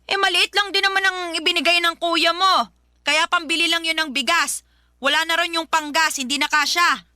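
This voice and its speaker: A woman playing a harsh, belittling, nagging Filipino mother in a radio drama, with a sharp-tongued, scolding manner. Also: very high-pitched, steady tone, normal speed, no echo, clean studio sound, full character voice. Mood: irritated, demanding.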